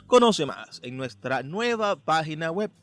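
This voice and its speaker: A man's voice: on the high side, big pitch swings, a little slow, fairly bright tone. A man reading scripted promotional narration as an advertisement announcer.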